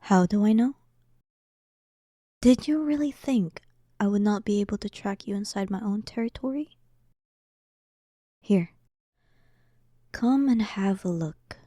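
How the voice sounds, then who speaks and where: Demon queen for roleplay audio , female performer: dominant, sarcastic, unhurried, dark soft tone, very close and dry. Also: mid-range voice, no echo, close to an everyday voice. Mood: smug, condescending. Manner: slow, teasing, condescending. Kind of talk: scripted roleplay audio drama.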